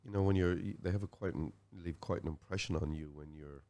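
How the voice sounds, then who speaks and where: A middle-aged Irish man with a Dublin accent talks in a very low voice. He rambles through his stories in casual interview chat, often restarting a phrase midway. His tone is low and unhurried. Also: fairly even intonation, warm tone. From Ireland. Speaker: guest musician.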